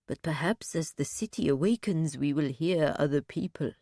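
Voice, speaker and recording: A woman narrator voicing a slow-witted, grim male captive who speaks bluntly and laboriously. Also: low voice, mellow, no room sound, studio quality, voice pushed down. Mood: pondering.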